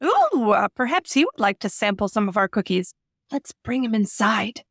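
A woman's voice: medium pitch, very animated, moderate pace, fairly bright tone, slightly rough, no room sound, studio quality. A woman delivering scripted dialogue in a comedy radio skit.

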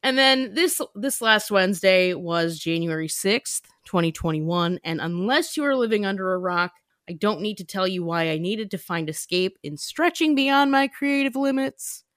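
A woman's voice: mid-range voice, animated, moderate pace, a bit bright, no room sound, clean studio sound. A woman podcast host chatting casually and self-deprecatingly, often laughing. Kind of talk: casual chat.

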